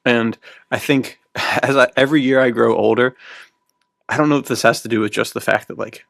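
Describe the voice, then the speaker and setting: Gravelly-edged man in his twenties, chatting casually. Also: mid-range voice, steady tone, fairly quick, fairly bright tone, no room sound, clean studio sound. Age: 20s. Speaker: podcast host and fiction writer. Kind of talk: casual conversational podcast chat.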